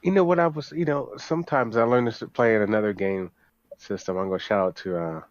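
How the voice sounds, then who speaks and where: A man's voice: lowish voice, quick pace, no echo. Man chatting casually, dull lo-fi audio. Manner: interjecting, repeats words. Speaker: podcast panelist. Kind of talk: casual chat.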